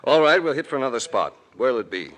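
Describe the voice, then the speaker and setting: An actor playing a wry, tough private investigator in a 1940s radio mystery. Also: male voice, medium pitch, big pitch swings, fast talker, fairly bright tone. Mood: wry, brisk. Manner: wry, hard-boiled. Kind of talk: 1940s radio acting, first-person detective narration. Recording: noisy vintage radio drama recording.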